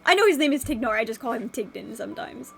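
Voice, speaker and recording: A woman's voice: fairly high pitch, lively intonation, fast talker, vocal fry, fairly bright tone, slightly rough. A young woman with a stuffy nose from a cold, rambling in a casual vlog from her bedroom with many fillers and restarts. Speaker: vlogger.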